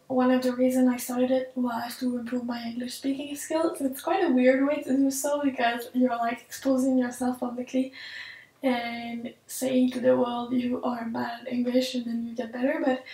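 High, flat-voiced young French-Canadian woman. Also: far from the mic. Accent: Quebec French. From Quebec, Canada. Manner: earnest, hesitant, many ums, searches for English words. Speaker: tech vlogger. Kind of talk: casual Q&A vlog. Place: home office with desk and computers, indoors. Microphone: camera mic at desk distance. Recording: echoey room, mic set back.

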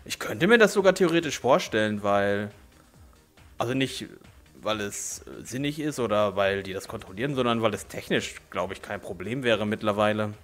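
A man's voice: lowish voice, expressive, normal speed, a bit bright. A man with a German accent and a slight cold, chatting casually and at length as a gaming video host. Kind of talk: casual chat while gaming. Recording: game music behind the voice. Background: video game music.

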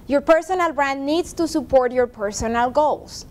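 A woman in her forties with a high voice leads a professional development workshop in a classroom. She talks conversationally, checking in with the audience with a quick "right?", and is picked up by a camera across the room. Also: darkish tone. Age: 40s. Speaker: workshop presenter. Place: classroom with a seated audience, projector screen. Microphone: far room camera.

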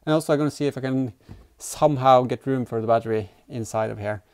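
Guy in his 30s doing diy car vlog, smooth clear voice, halting, casual, thinking out loud as he works. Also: medium pitch. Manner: halting, thinking aloud while working. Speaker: host of a DIY vehicle build vlog. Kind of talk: casual hands-on workshop narration. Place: indoor garage workshop, in and around an open car.

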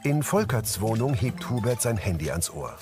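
A man's voice: lowish voice, big pitch swings, normal speed, over music. A man reading scripted audio description narration for a drama.

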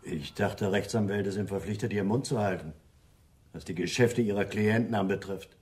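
An actor as a cheeky crook, roomy sound. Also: male voice, lowish voice, normal speed, a little mellow, lightly acted. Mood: indignant. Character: cheeky, indignant male career criminal. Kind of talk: German radio crime drama acting. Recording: roomy, noisy radio play recording.

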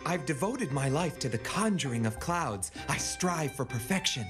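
An actor performs a pretty-boy fairy, a stoic and aloof young man in an anime dub. The delivery is cool and earnest but moves expressively in pitch. Loud music runs underneath. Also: lively intonation, moderate pace, lightly acted.

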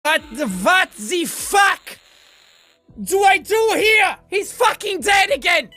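A manic, cocky surgeon rants in a mock German accent during comedic game commentary. The voice is high, sharp and forceful, leaping around in pitch with theatrical, foul-mouthed bravado. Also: male voice, expressive, slow, faint music behind, exaggerated voice. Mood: frantic, outraged. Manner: theatrical, boastful, swearing, erratic outbursts.

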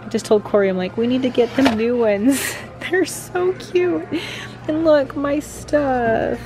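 A woman's voice: sits high, unhurried, music in the background. A middle-aged American woman chatting casually to viewers, informal and thinking aloud as she goes. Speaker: family vlogger.

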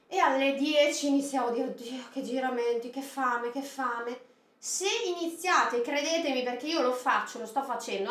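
A woman in her thirties with a high, very animated voice that leaps up and down as she talks. She chats directly to her viewers, asking them questions and handing out recipe tips. Her voice carries a roomy echo from a small indoor set. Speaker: food box presenter. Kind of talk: chatty product unboxing with recipe tips. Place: small indoor studio set with produce crates. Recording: echoey room.

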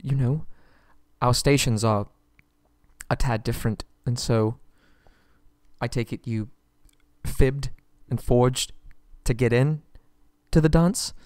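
Captive young prince, played by a man for ASMR roleplay: meek, courteous, pleading, slow stammered speech, dry close sound. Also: medium pitch, a little mellow, no echo, lightly acted. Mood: flustered, placating. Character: nervous, submissive, polite young prince held captive. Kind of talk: ASMR roleplay audio drama.

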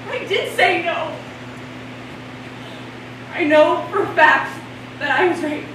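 Woman acting distressed young college woman, stage monologue, heavy echo, clear background noise. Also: extremely high pitch, takes it slowly. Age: young adult. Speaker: stage actress. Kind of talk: theatrical monologue before a live audience. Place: small stage before a seated audience. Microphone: far camera. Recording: echoey noisy stage, distant camera microphone.

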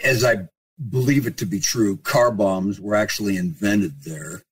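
A man's voice: lowish voice, measured pace, breathy edge, far from the mic, rough recording. An older man from Ohio, a guest archaeologist telling stories about his early life in a dry, wry way. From Ohio, United States. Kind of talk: interview answers.

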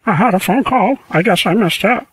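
A man's voice: high-pitched, brisk, fairly bright tone, slightly rough, no room sound, low-quality recording. A scambaiter playing a lonely, rambling old man who feigns confusion on the phone.

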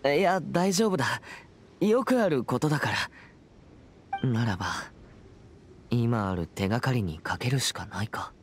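A man's voice: medium pitch, animated, measured pace, pauses often, slight background noise, lightly acted. A young man voicing a serious, earnest teenage boy exorcist in a Japanese anime game, with a formal, reserved and polite manner. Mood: thoughtful. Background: background music.

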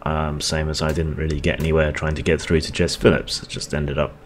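A man with a very low, deep voice talks unscripted to his camera, weary and halting, often pausing on ums and starting his sentences over. A faint hum of room noise sits under him. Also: level tone. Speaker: video blogger. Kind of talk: unscripted reaction monologue.

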